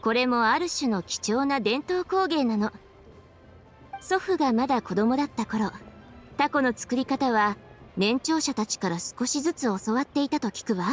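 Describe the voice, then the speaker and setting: A woman's voice: high-pitched, expressive, moderate pace, soft background music. An actress playing a thoughtful young woman official in an anime game, composed and articulate as she explains things. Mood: pleased, reflective. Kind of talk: anime video game voice acting.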